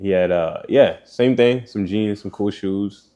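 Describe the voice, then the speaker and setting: A young college man from Congo, raised in South Africa, chatting as a podcast guest in a relaxed way, his voice trailing off softly between laughs. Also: lowish voice, unhurried. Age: young adult. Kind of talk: casual chat.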